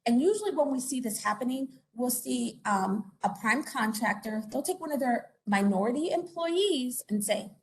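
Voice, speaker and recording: A woman's voice: on the high side, expressive, moderate pace, hardly any pauses, poor audio. A woman from Puerto Rico giving a conversational informational presentation as an investigator, with many fillers and tag questions. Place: conference or meeting room.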